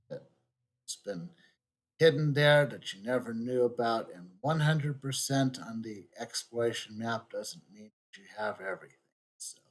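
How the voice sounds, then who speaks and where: A man's voice: medium pitch, slight background noise, poor audio. A male streamer chatting casually and thinking aloud while he plays a game. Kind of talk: gameplay commentary. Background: game music.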